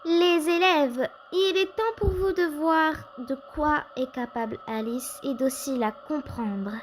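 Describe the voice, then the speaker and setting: A woman's voice: high voice, takes it slowly, slight background noise. A woman voicing a composed, didactic female teacher in an amateur cartoon dub, lecturing her pupils. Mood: calm lecturing.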